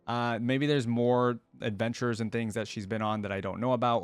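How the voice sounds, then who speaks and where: A man's voice: lowish voice, clean tone, no room sound. A man hosting a reaction video, talking casually and rambling as he thinks aloud. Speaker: YouTube reaction host. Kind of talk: casual reaction commentary to camera.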